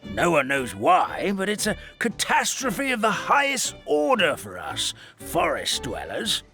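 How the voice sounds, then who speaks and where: A gentle, forgetful old paper elder rambles and frets in a bright, lively voice that rises and falls dramatically. He sounds grandfatherly and theatrical, and faint music plays behind him. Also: on the high side, measured pace, exaggerated voice. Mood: worried storytelling. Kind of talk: video game dub acting.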